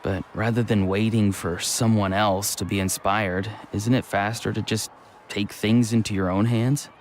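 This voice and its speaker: A man's voice: on the low side, fairly even intonation, moderate pace, background noise, lightly acted. A man voicing an earnest, restless young villager with a casual, frank manner in an anime-style video game. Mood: candid. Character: restless, earnest young male villager, mild reformed troublemaker. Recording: faint background ambience.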